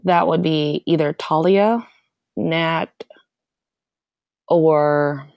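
A woman's voice: low-pitched, steady tone, extremely slow, a little mellow, no echo, highs cut off. A woman from the Philippines, a guest in a casual interview, who laughs often and says "like" a lot. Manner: laughs often, leans heavily on "like".

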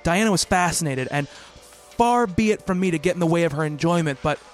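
Casting: male actor as bookish, devoted gentleman doctor, high-pitched voice, measured storyteller, radio drama over music. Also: lightly acted. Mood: wistful narrating. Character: earnest, bookish male doctor, devoted fiancé narrating his tale. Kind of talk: radio play narration and dialogue.

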